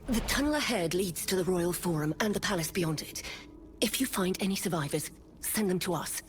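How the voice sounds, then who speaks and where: A woman's voice: lowish voice, expressive, brisk, a little hiss or hum, close to an everyday voice. An actress playing a composed, blunt woman who guides refugees in a fantasy game. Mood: earnest. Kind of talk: scripted game voice acting.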